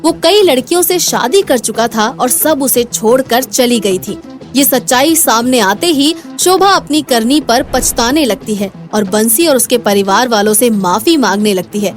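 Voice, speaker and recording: High-voiced woman storyteller over music. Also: animated, measured pace. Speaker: storyteller, narrator. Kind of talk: scripted moral story narration.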